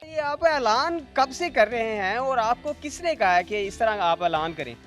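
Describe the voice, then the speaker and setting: A man's voice: extremely high pitch, expressive, normal speed, fairly bright tone, soft background music. A man with a Pakistani accent interviewing as a news reporter on location, asking long, repetitive questions. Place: on location at a mosque.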